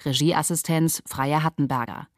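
Low-voiced woman announcer, dry studio sound. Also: fairly even intonation, normal speed, no room sound. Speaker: radio drama announcer. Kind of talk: scripted credit announcement.

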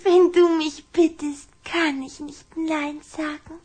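A woman playing an affectionate, excitable little vampire girl in a children's audio drama, coy and eager in manner. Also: sits high, takes it slowly. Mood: concerned.

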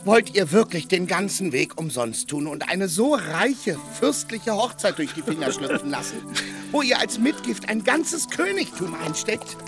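Flustered peasant squire, animated swoops. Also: male voice, sits high, measured pace, music in the background, full character voice. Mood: exasperated. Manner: stammering, hedging, earthy plain talk. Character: Sancho, simple chatty peasant squire, evasive and blunt. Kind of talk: German radio play acting.

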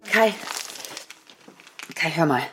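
A woman's voice: low-pitched, lively intonation, very slow, a bit bright, breathy edge, exaggerated voice. An actress in a radio play, playing a woman who reads a report aloud in a naturalistic way. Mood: reading aloud. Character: woman reading a magazine report aloud to Kai. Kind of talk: naturalistic radio drama acting.

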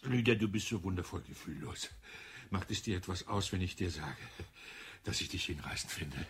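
An actor in a radio play voicing a suave, ironic man who marries for money, with a husky, breathy voice. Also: low voice, normal speed, full character voice. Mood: tender. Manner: smooth, ironic, flattering.

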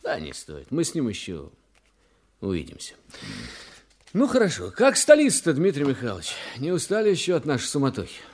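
A courteous Soviet investigator in a radio play. His voice sits in a middle register with a lively range, and his questioning is measured, quietly ironic and persistent. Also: mid-range voice. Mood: casually probing. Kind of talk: Russian radio play acting.

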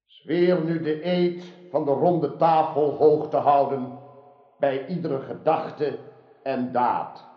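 Gracious commanding king, slow n ceremonial, radio play, echoey muffled. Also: a little high, steady tone. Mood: stately, gracious. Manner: ceremonial, declamatory. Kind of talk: radio drama acting. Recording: dull, roomy radio drama recording.

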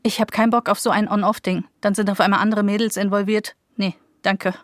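A woman's voice: medium pitch, little pitch movement, no echo, clean studio sound, natural voice. A woman playing a blunt, restless young woman. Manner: blunt, pleading, quick short lines. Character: young woman, restless and direct, the narrator's mother. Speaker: the narrator's mother as a young woman. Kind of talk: radio drama acting.

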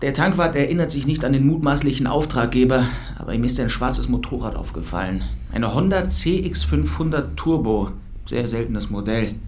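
A man playing an eager police detective in a radio drama, reporting in a brisk, factual way. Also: mid-range voice, lively intonation, darkish tone, slight background noise, lightly acted.

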